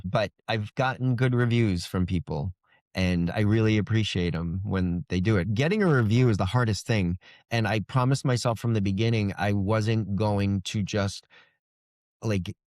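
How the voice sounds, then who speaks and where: A man's voice: on the low side, a little mellow, dry recording, clean studio sound. A man speaking as an author guest in a casual interview, earnest and reflective. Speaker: guest, indie fantasy author.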